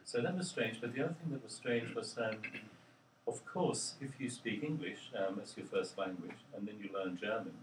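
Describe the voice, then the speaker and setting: Older man, very low, halting. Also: a little hiss or hum. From Australia. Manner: halting, many ums, thinks aloud. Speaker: interviewee, linguist. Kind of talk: reflective interview answers. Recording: roomy, distant mic with faint ambience.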